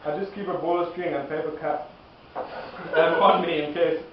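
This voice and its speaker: Halting, giggly man in a very echoey room. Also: fairly high pitch, steady tone, a little hiss or hum. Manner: halting, giggly, losing his place in the script. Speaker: amateur performer reading his part in a comic skit. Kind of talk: semi-improvised comic dialogue. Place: live performance in an echoey room. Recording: very echoey, distant, muffled room recording.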